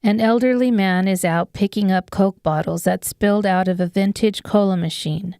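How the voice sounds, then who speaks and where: A woman's voice: mid-range voice, moderate pace, gravelly, dark tone, no room sound, studio quality. A woman reading scripted narration of an apocalyptic horror audiobook. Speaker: author narrating her audiobook.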